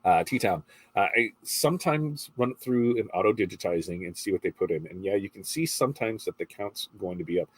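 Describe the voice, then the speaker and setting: A man's voice: sits low, lively intonation, a little fast, slightly rough, dry recording, poor audio. A middle-aged man with a congested voice hosts a casual morning livestream, chatting and reading viewer comments in a rambling way.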